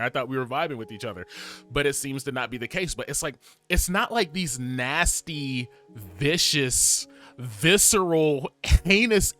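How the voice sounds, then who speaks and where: Animated rambling man in his twenties. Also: mid-range voice. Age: 20s. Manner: rambling and animated, with frequent fillers and laughs. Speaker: vlogger. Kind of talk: casual talk to camera.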